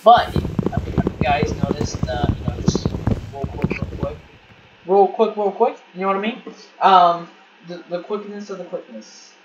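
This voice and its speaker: An excitable man chats through a game as he plays, rambling and tripping over his own words. He sits back from the microphone, so he sounds a little far off. A steady noise hangs behind his voice. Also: high-pitched, normal speed, lo-fi sound. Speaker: gameplay commentator. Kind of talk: casual gaming commentary. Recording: distant mic with noisy background.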